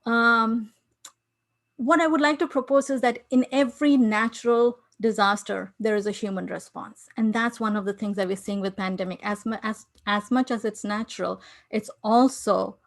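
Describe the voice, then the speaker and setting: A woman's voice: on the high side, steady tone, moderate pace. A woman from India with an Indian accent, giving an earnest conference talk over a video call, with frequent fillers and restarts. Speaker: conference speaker. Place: home in New York City.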